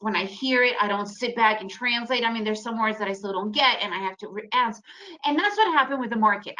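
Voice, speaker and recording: A woman in her forties presents to an online audience in a chatty, storytelling way, often checking in with a quick "right?". Her voice sits a little high and sounds bright and forceful. She grew up in the United States and her first language is Russian. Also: low-quality recording. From Ukraine, raised in the United States. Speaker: trading webinar presenter. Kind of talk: casual presentation.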